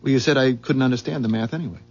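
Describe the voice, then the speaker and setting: An actor playing a skeptical, curious everyday neighbor in a 1970s radio drama, speaking in a colloquial, incredulous, halting way. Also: male voice, mid-range voice, a little mellow, soft background music, natural voice. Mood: uneasy. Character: skeptical, easily amazed everyday man, friendly curious neighbor. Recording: dull, muffled 1970s radio broadcast recording.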